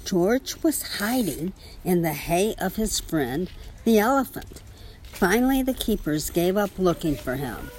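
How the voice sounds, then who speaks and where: A woman reading a children's picture book aloud in an expressive storytelling manner. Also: fairly low pitch, unhurried, slight background noise, rough recording. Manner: expressive storytelling with small spoken asides. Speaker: story reader.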